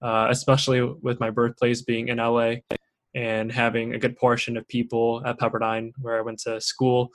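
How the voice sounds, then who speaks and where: A man who grew up in Singapore and Shanghai, hosting a relaxed conversation. He talks earnestly and warmly encourages his listeners, picking up speed when he gets animated. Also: medium pitch, moderate pace. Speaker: podcast host. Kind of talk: casual interview chat.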